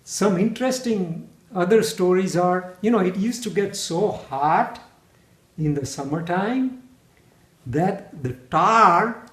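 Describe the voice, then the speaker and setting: An older Indian man in his seventies speaks in a slow, unhurried way, calmly reminiscing. His voice sits a little high for a man and carries some room echo around it. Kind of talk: family interview, storytelling. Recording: echoey room.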